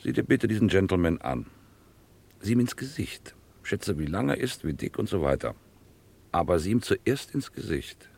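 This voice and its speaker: Very deep-voiced, pompous gentleman crook. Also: big pitch swings, creaky, breathy edge, full character voice. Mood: condescending, amused. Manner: grandiloquent, patronising, deliberate phrasing. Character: pompous, scheming gentleman crook, condescending to his dim henchman. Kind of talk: vintage German radio crime play acting.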